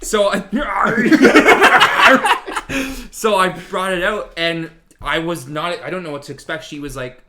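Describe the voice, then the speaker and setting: Animated, swearing man in casual podcast chat. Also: high voice, slow. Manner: animated, bantering, profane.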